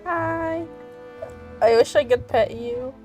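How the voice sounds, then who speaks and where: A woman's voice: high-pitched, takes it slowly. A woman giving casual, giggly gameplay commentary as she reacts to a video game. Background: game music.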